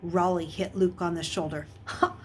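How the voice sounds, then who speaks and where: A woman reading a children's novel aloud as an expressive storyteller, with a husky voice. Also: medium pitch, measured pace, slight background noise. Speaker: audiobook reader.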